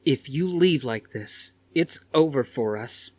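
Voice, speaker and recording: A man puts on a petulant young girlfriend in an audiobook story. The voice is whiny and lifted high, swinging widely in pitch. The delivery is slow and melodramatic, in a dry recording. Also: lively intonation, dry recording. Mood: seething, threatening. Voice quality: whiny, gasping.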